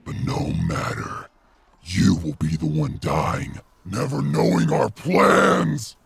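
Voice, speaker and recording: A man playing a huge, arrogant pit fiend with a raspy, gravelly voice in a dramatic audiobook. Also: low-pitched, wildly swooping pitch, takes it slowly, slight background noise, heavily acted. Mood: sneering, menacing. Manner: sneering, bellowing.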